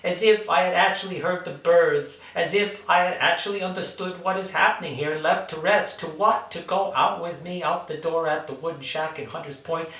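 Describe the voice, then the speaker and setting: Male spoken-word writer, sharp forceful tone, theatrical emphatic delivery, captured in a roomy echoing space. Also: on the high side, steady tone, normal speed, few pauses. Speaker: writer reading own work. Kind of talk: dramatic spoken-word literary reading. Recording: echoey room, distant mic.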